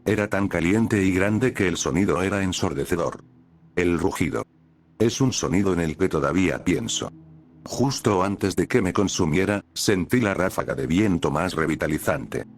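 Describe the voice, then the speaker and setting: A man with a very low, deep voice narrates a scary story from a script. His delivery is steady and measured, and a faint hiss sits under the voice.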